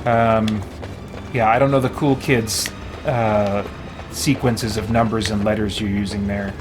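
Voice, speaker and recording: A man's voice: fairly low pitch, fairly even intonation, measured pace. A man hosting a casual game livestream, rambling and thinking aloud as he chats with viewers. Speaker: game streamer, host. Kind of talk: casual livestream gameplay chat, reading viewer comments. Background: background music.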